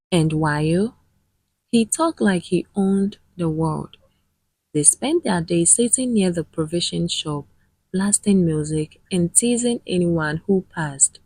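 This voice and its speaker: A woman narrating a scripted dramatic tale in a measured, solemn manner with dramatic pauses. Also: fairly low pitch, a little mellow, husky, dry recording, low-quality recording. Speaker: storyteller, narrator.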